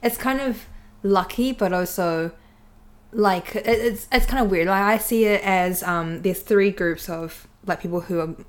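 A woman's voice: medium pitch, a little slow. A young woman, explaining her thoughts tentatively in a casual chat as a co-host. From New Zealand, grew up there. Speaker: podcast co-host.

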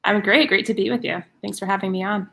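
A woman's voice: mid-range voice, fairly even intonation, fast talker, gravelly, a bit bright. Earnest woman in a casual interview. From United States. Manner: earnest, with many fillers and restarts. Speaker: guest, ministry director.

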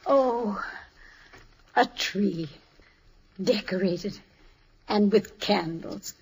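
An actress playing a kindly, homespun older storekeeper's wife in an old radio drama, welcoming and wistful. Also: female voice, medium pitch, very slow, a little mellow. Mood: amazed. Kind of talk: 1950s radio drama acting. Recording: old radio recording with clear noise.

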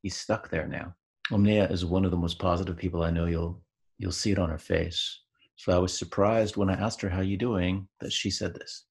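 A man hosting a podcast, narrating an introduction in a calm, measured way and asking interview questions. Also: sits low, vocal fry, husky. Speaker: podcast host.

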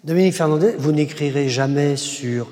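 Probing male interviewer, measured questions. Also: a little high, warm tone, in a large hall.

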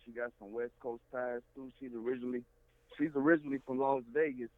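A man's voice: medium pitch, fairly even intonation, fast talker. Man on a tinny phone line. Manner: easygoing, slangy. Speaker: guest. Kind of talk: casual chat.

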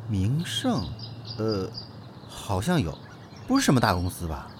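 A man's voice: on the low side, wildly swooping pitch, a little slow, noisy background. A man acting in an audio drama as a chatty, exasperated but devoted celebrity agent, colloquial and wheedling. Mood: baffled.

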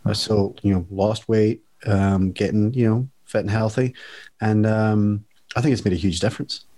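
A man's voice: lowish voice, steady tone, moderate pace, dark tone. A man talking casually as a podcast guest, with a jokey, self-mocking, playful manner, recorded on a microphone in a home music studio. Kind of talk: casual chat. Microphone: studio microphone with headphones.

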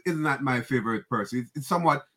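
Bright-voiced Jamaican man, halting and animated. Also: mid-range voice, breathy edge. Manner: stammering restarts, animated, often asks "right?". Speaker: interview host. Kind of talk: casual interview chat.

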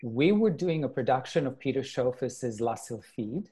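A middle-aged man from Serbia, a ballet dancer telling his life story in an interview, thoughtful and unhurried. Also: mid-range voice, lively intonation, mellow, slightly rough.

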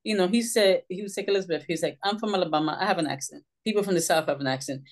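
A middle-aged woman from Puerto Rico, a social worker and author telling her story in an interview, animated and acting out the voices she quotes. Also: on the low side, racing.

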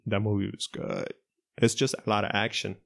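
A young man in a casual podcast chat, easygoing and quick to laugh. His voice sits low with a gravelly texture, and he drops in a few Spanish words here and there. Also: little pitch movement, fairly bright tone. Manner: laid-back, laughs often, mostly English with Spanish bits. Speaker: podcast co-host.

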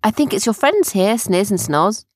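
A woman's voice: mid-range voice, expressive, normal speed, a little mellow, husky, dry recording, studio quality, voice pushed up. A woman voicing a kindly but firm mother in a story, with an apologetic manner. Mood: pleasantly surprised.

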